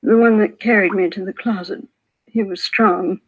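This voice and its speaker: An actress playing a frail, shaken older widow, hesitant, plaintive and apologetic, in a 1950s radio police drama. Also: female voice, mid-range voice, fairly even intonation, moderate pace, husky, narrow, tinny audio, lightly acted. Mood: weak, unsure. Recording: vintage 1950s radio broadcast.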